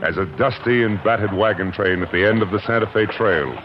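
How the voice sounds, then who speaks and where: Deep dramatic old-time radio narrator man. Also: a little fast. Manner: grandiose, dramatic storytelling. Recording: muffled vintage radio recording. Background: dramatic music.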